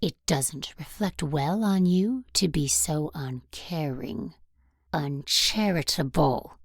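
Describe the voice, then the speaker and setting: A woman's voice: low voice, slow, no room sound, clean studio sound, heavily acted. A woman playing a stern, cutting nun teacher in an audio drama, speaking with severe deliberation. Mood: stern reproach.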